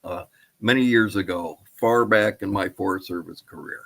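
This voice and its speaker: Lower-voiced man in his seventies. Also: normal speed, gravelly, fairly bright tone. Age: 70s. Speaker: guest historian and presenter. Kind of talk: prepared presentation and interview answers.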